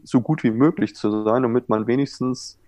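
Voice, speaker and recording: A man's voice: mid-range voice, normal speed, dark tone, no echo. A man who coaches goalkeepers, explaining things in a self-assured, conversational way as an interview guest. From Germany. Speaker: water polo goalkeeper coach, former Olympian.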